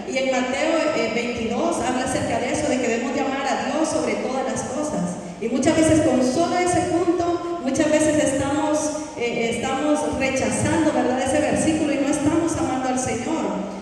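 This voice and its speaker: Woman pastor giving a sermon, high voice, far from the mic, lots of echo. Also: a little mellow. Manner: preaching with slow drawn-out Bible readings. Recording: echoey hall, voice from far off.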